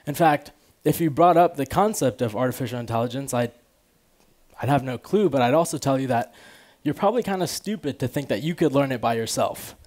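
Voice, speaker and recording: A man's voice: medium pitch, animated, fairly quick, darkish tone. An American man of about twenty giving an informal, conversational stage talk, casually peppered with "you know" and "like". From Chicago, United States, born in Afghanistan. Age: around 20. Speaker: conference speaker. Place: large auditorium stage with audience. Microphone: headset.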